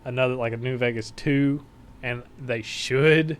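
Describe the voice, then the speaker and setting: A man chatting as a podcast guest in an easygoing, relaxed way, with frequent ums and uhs. His pitch sits in an ordinary middle range. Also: measured pace, a little hiss or hum. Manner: relaxed gamer talk with fillers. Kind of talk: casual chat.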